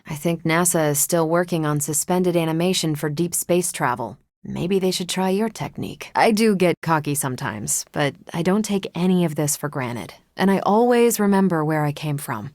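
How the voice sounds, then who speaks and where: A woman's voice: on the low side, darkish tone, no echo, clean studio sound, close to an everyday voice. A woman voicing a confident, wry young superhero soldier with an American accent, in casual, self-assured video game banter.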